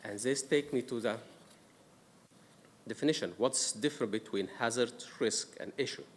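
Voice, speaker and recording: A man's voice: mid-range voice, normal speed. Egyptian-accented man presenting in an echoey hall. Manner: explanatory, frequent "uh" fillers, careful pacing. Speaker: risk management presenter. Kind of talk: council workshop presentation. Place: council meeting room. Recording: distant mic in a reverberant room with noise.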